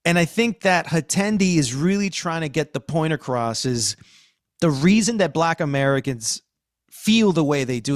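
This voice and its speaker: A man hosting a podcast, talking through analysis conversationally with deliberate, halting phrasing. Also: on the high side, no echo, clean studio sound. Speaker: podcast host.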